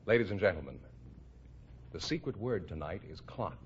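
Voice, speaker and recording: A man's voice: deep voice, very animated, normal speed. An American man working as announcer and sidekick, reading in scripted 1950s radio style. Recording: muffled old radio recording.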